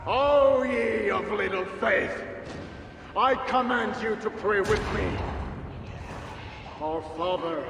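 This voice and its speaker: High, echoing, zealous bishop. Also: male voice, takes it slowly, creaky, pauses often, noisy background, heavily acted. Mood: pious, commanding. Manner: oratorical, sermonizing. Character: pompous, zealous Frankish bishop preaching defiance. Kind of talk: dramatic video game cutscene acting. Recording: echoing stone hall, distant, music behind.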